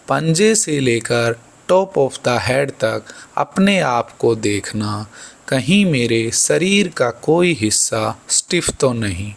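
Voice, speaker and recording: Yoga guy doing guided meditation, super slow n soothing, lots of vocal fry, short calm instructions. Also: mid-range voice.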